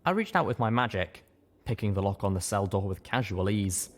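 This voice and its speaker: A man reading first-person fiction aloud with steady, dramatic storytelling. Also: fairly low pitch, a little fast. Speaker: audiobook reader.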